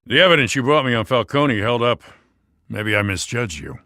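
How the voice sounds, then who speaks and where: A man's voice: mid-range voice, expressive, moderate pace, bright, forceful tone, no echo. An actor playing a hard-nosed man in a video game, matter-of-fact and dry-witted. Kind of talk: dramatic video game voice acting.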